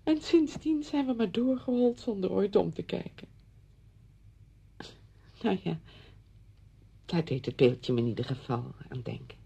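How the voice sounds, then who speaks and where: An actress playing a chatty, meddling woman visiting an old friend in a Dutch radio play, brisk and insistent in manner. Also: medium pitch, lively intonation, mellow, full character voice. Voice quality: husky, creaky.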